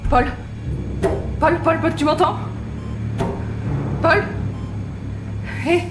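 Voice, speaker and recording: A woman's voice: very high-pitched, fairly even intonation, drawn-out words, full character voice. A woman playing an anxious, defensive young survivor in an audio drama, blunt and stammering. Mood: worried, urgent. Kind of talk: French audio drama acting. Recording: roomy echo, music behind.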